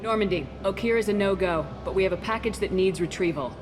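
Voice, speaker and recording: A woman's voice: mid-range voice, quick pace, long reverb tail, over music, close to an everyday voice. A woman playing a tough, decisive military space commander in a video game, direct and businesslike. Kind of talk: video game dramatic acting.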